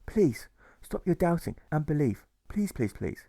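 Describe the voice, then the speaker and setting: A man voicing a gentle, earnest, saintly freed prisoner in an audiobook story. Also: on the high side, very animated, unhurried, dry recording, studio quality, pitched above the performer's own voice, full character voice. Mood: earnest pleading. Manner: mellow, soothing, earnest.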